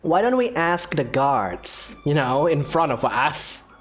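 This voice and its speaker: Very low-voiced woman streamer. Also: very animated, slow, background noise. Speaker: gameplay streamer. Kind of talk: casual game reaction commentary.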